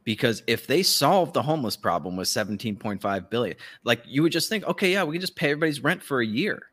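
A man's voice: mid-range voice, lively intonation, fast talker, a bit bright. A man co-hosting a podcast with casual, sardonic political commentary and a profane, ranting manner. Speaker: podcast co-host.

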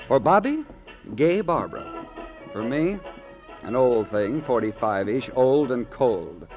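A man's voice: mid-range voice, very animated, slow. An actor playing an eccentric, coy and catty man with a mannered, teasing delivery, in old-time radio drama style. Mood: catty, reminiscing. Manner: mannered, hesitant, teasing digressions. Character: affected, eccentric twin brother, coy and catty. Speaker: actor in a radio detective series. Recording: muffled vintage radio recording, music behind.

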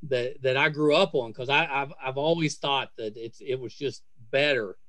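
A middle-aged man hosting a casual podcast interview, speaking in a gushing, effusive way with halting phrasing. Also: mid-range voice, fairly bright tone, no echo. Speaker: podcast host interviewing guests.

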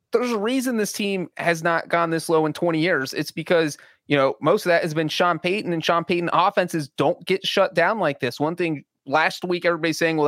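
Opinionated male football podcaster, higher voice. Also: fairly bright tone, dry recording, clean studio sound. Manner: emphatic, opinionated, teasing. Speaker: podcast co-host. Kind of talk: casual sports analysis chat.

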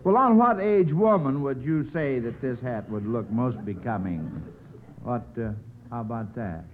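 Halting old-time radio quiz host, American man. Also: mid-range voice, expressive. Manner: hesitant, halting, drawn-out hems and haws. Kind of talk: 1940s radio quiz hosting, ad-libbed. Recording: old radio broadcast, narrow band, faint noise.

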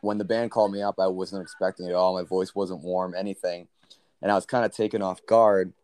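A young man, a country singer, telling a story in a relaxed interview with many fillers; he has a low baritone voice. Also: brisk.

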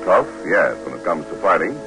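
An actor playing a steady paratroop lieutenant, narrating his war memories admiringly in a 1940s radio drama. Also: low voice, fairly quick, over music, low-quality recording. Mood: reflective, grave. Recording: old radio recording.

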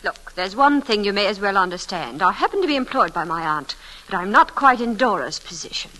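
An actress playing a guarded, resentful young niece and paid companion, cool and precise, in old-time radio drama style. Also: female voice, medium pitch, expressive, fairly quick, pushed and bright, poor audio. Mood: cool, wary. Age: young adult. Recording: vintage radio broadcast recording.

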